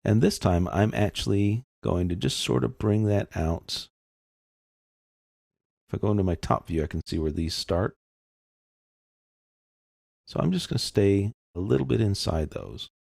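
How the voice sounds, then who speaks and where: A man giving an informal step-by-step software tutorial, explaining casually as he thinks aloud. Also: fairly low pitch, animated, measured pace, gravelly, pauses often, slightly rough, no echo, studio quality.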